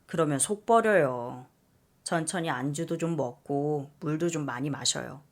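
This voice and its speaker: A woman voicing a calm, playful young male office coworker who teases gently. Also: deep voice, unhurried, pitched below the performer's own voice. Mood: teasing. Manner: teasing, gently joking.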